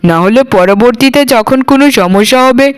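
A woman's voice: a little high, normal speed, clean tone, close to an everyday voice. A woman playing a dutiful, sensible housewife, the eldest sister-in-law, speaking earnestly in scripted cartoon dialogue. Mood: reproachful.

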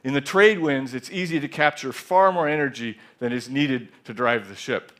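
A man in his sixties telling a measured, reflective story in a talk on a large theater stage, with a headset mic. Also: medium pitch, a bit bright. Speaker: speaker. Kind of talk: conference talk.

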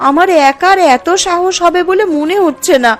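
A worried, caring village wife from a cartoon, played by a woman, speaks in a high voice. She sounds earnest and fretful over a bed of music. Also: moderate pace. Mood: anxious. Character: anxious, caring village housewife. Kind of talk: cartoon dialogue acting.

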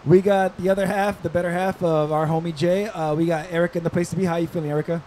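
A man's voice: high-pitched, steady tone, fast, darkish tone. A man in his thirties hosting a casual podcast chat, speaking into a close studio microphone. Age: 30s. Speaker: podcast host. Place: small indoor podcast studio. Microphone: close studio microphone on a boom arm. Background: music.

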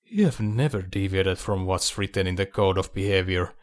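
A man voicing a confident military adviser in an audiobook, reasoning in a measured, dry, persuasive way. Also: sits low, lightly acted. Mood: firm, persuasive. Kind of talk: audiobook character dialogue.

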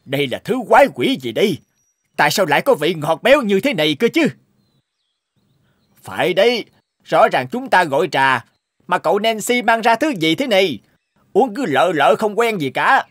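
Grumpy old cartoon guy, scolding, high voice swinging all over. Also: sing-song, moderate pace, a bit bright, dry recording, clean studio sound, heavily acted. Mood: indignant. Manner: complaining, scolding. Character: grumpy, indignant old male teahouse regular, traditionalist. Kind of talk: Vietnamese cartoon dub.

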